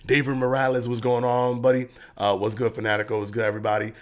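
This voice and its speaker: A man's voice: mid-range voice, completely flat delivery, fast talker. A man hosting a live boxing stream, chatting casually, rambling and restarting his phrases while reading out chat comments.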